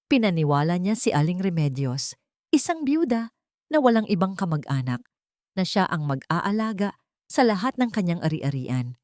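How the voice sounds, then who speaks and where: Low-voiced Filipino woman narrating a drama. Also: sing-song, moderate pace, a little mellow, no room sound, clean studio sound. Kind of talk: scripted dramatic story narration.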